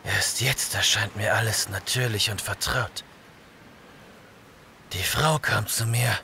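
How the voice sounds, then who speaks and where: A man acts a young barbarian warrior for an audio drama. His voice is forceful and gravelly, brooding in slow, halting phrases, exhausted yet intense. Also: on the low side, steady tone, a little hiss or hum, exaggerated voice. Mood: dazed wonder. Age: young adult.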